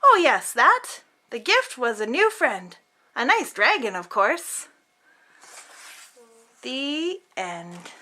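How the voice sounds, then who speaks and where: A woman's voice: sits high, sing-song, slow, pushed and bright. A woman reading a children's picture book aloud as host, theatrically, drawing out words for emphasis.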